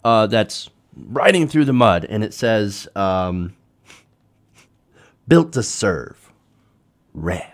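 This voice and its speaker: A man's voice: lowish voice, sing-song, extremely slow, long pauses. A man hosting a political commentary show, talking informally to viewers in a conversational way with rhetorical tag questions.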